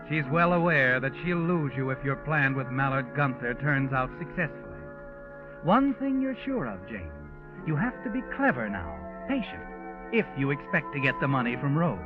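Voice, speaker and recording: An actor playing a sardonic, all-knowing storyteller, insinuating and ominous, in a 1940s radio mystery. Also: male voice, a little high. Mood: taunting. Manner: taunting, insinuating, second-person narration. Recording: dull vintage radio with music. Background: music.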